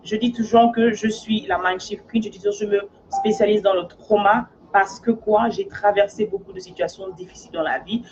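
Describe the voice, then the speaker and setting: A woman's voice: mid-range voice, animated, brisk, hardly any pauses, background noise. Earnest woman coach, from Africa, lo-fi. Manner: earnest, often checks in with "d'accord?" and "en fait". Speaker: life coach. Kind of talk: casual motivational monologue.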